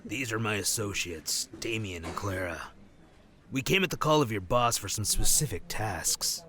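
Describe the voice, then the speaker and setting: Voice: medium pitch, lively intonation, moderate pace, a crowd in the background, natural voice. A performer playing a wry, uneasy male undercover agent in an audio drama, sardonic and hesitant.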